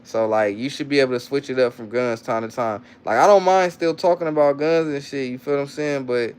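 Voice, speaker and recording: A man's voice: mid-range voice, a little fast, darkish tone, clear, resonant tone. A young man talking loosely on a livestream, reading chat comments aloud and answering them in rambling slang with lots of restarts. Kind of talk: casual live-stream chat.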